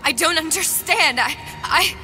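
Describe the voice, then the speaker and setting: An actress playing a distraught, guilt-ridden young woman in a video game, speaking in a halting, emotional way. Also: very high voice, animated, music in the background. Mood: frightened, bewildered. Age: young adult. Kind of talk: dramatic video game acting.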